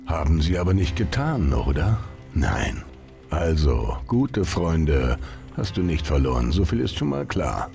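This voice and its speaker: A man's voice: extremely low pitch, big pitch swings, a little slow, vocal fry, darkish tone, soft background music, full character voice. An actor playing a domineering, mocking male gang boss in a video game, sneering and dismissive. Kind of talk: dramatic video game acting.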